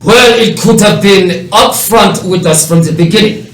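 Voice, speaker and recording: Casting: woman as stern, affronted diplomat, medium-low for a woman, roomy off-mic recording, radio comedy. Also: unhurried, close to an everyday voice. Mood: reproachful. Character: indignant, stern human delegate. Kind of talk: radio play comedy acting. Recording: echoey, distant mic.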